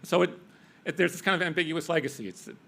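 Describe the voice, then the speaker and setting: A man speaking on a symposium panel, halting and repeating words, with casual asides. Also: fairly high pitch, creaky, a bit bright. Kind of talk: panel talk.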